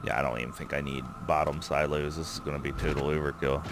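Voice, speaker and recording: Low, gravelly man narrating gameplay. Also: little pitch movement, quick pace, background noise. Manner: thinking aloud, rambling with fillers. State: losing his voice. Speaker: gameplay commentator. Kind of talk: casual let's-play commentary.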